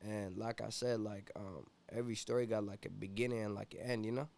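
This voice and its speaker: A man's voice: fairly low pitch, fairly even intonation, fairly quick, vocal fry, a little mellow. A young man from Ethiopia, a rapper guest in a casual interview, talking laid-back and slangy with constant "you feel me" fillers.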